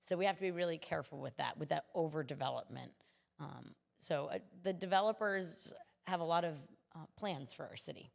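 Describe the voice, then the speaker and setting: A woman's voice: low voice, brisk, gravelly, in a large hall. A woman speaking as a city commissioner in a conversational interview, explaining things earnestly.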